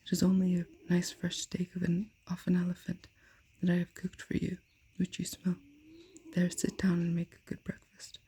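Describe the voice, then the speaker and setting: A woman voicing a bossy giantess, the wife of a man-eating giant, in a breathy whisper. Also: on the low side, completely flat delivery, normal speed, dark tone. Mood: scornful.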